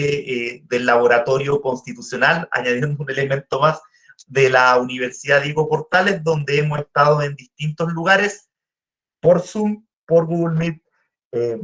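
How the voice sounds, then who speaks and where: A man from Chile with a Chilean Spanish accent, giving a guest lecture over a video call, speaking slowly and deliberately with frequent "eh" fillers. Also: medium pitch, a bit bright. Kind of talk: online academic lecture.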